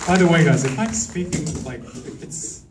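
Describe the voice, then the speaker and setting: A man hosting a fan event, making loud, chatty announcements with apologetic asides in a large cinema hall. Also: fairly high pitch, normal speed. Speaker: event host. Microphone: handheld mic, filmed from far seats. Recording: distant voice, crowd and noise from far off.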